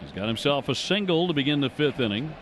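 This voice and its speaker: An American man calling live baseball play-by-play on radio in a measured, descriptive manner. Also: medium pitch, lively intonation, fairly bright tone, a little hiss or hum. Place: ballpark broadcast booth.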